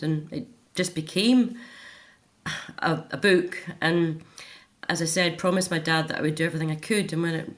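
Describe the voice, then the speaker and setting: A middle-aged woman giving a candid personal account as a radio interview guest, conversational and reflective. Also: deep voice.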